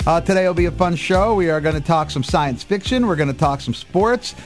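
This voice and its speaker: A middle-aged man hosting a casual live radio show, chatty and folksy, with halting phrasing. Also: a little high, faint music behind. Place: radio studio. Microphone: studio microphone.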